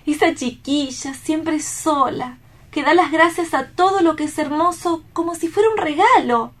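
High-voiced, tender young woman. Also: unhurried. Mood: reflective, compassionate. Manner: expressive, theatrical. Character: bright, tender-hearted young woman reporter, compassionate. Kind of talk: radio play acting.

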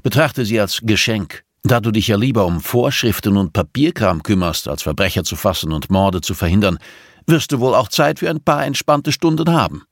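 A man's voice: fairly low pitch, moderate pace, dry recording, studio quality. A man voicing an irascible, sardonic male investigator in an audiobook, defiant, cutting and insistent. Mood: bitter, cool. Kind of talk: audiobook character dialogue.

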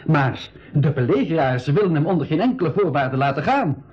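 A man plays a thoughtful young nephew in a radio adventure. He sounds earnest and practical, fairly high for a male voice, on a dull eighties recording. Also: expressive, a little fast, natural voice. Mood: concerned, practical. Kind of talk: 1980s educational radio play acting.

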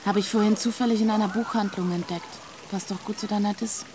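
A woman's voice: medium pitch, steady tone, fairly quick, a little mellow, a little hiss or hum, natural voice. An actress playing a disillusioned young woman in a radio drama, speaking in a frank, matter-of-fact, naturalistic way. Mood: casual. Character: disillusioned young woman from Leipzig, direct, searching.

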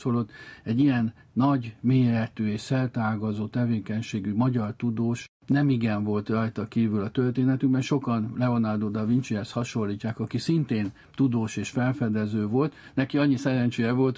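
A man's voice: medium pitch, warm tone, breathy edge. A man talking as an expert, explaining history in long, discursive storytelling sentences.

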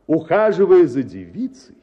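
A high-pitched, excitable, pompous would-be writer. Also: male voice, normal speed, dull audio. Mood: pompous lecturing. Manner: pompous, emphatic, declamatory. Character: excitable, self-assured would-be writer lecturing on marriage. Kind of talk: theatrical comic story performance.

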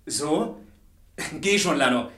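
A man's voice: fairly high pitch, expressive, takes it slowly, fairly bright tone. A male actor playing a cheeky, unruffled young student with a teasing manner, in a radio crime drama with roomy echo. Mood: breezy, teasing. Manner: flippant, teasing.

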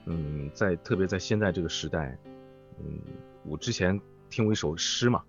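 A man's voice: low voice, moderate pace, muffled recording, faint music behind. A man speaking as a voice actor guest in an interview, reflective and hesitant as he searches for words. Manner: hesitant, thoughtful phrasing.

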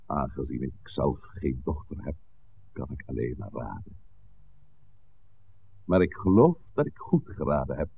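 Very deep, creaky old village priest. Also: male voice, sing-song, full character voice. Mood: suave. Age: older. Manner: deliberate, eloquent, theatrical. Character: shrewd old village priest, genial and slyly persuasive. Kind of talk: 1960s Dutch radio play acting. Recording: tinny, band-limited old radio recording, hiss.

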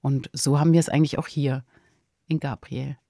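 A woman's voice: very deep voice, steady tone, a little fast, vocal fry, warm tone, no echo. Dry, close-miked female podcast host. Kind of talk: conversational radio drama commentary.

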